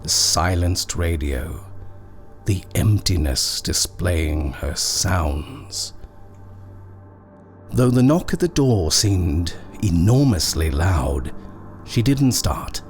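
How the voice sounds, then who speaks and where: A man reading a horror short story as dramatic, suspenseful narration, measured and full of pauses. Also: sits low, very animated, soft background music.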